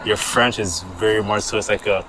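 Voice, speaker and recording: A man's voice: on the low side, steady tone, fairly quick, a crowd in the background. A man hosting a sports show, chatting casually as he interviews. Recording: clearly noisy background ambience.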